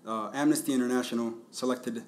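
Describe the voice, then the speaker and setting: A man's voice: mid-range voice, fairly even intonation, quick pace. A man hosting a podcast interview, upbeat and friendly, laughing often as he introduces his guest. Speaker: podcast host.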